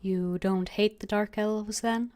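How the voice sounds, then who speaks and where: A woman's voice: mid-range voice, steady tone, slow pace, darkish tone. A woman streaming a game, reading dialogue aloud and commenting on it.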